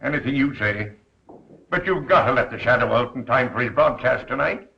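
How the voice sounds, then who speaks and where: A man's voice: mid-range voice, brisk, creaky, pushed and bright. A man playing a flustered newspaper boss, anxious and protesting, in 1930s film style. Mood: pleading. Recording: muffled, noisy 1930s film soundtrack.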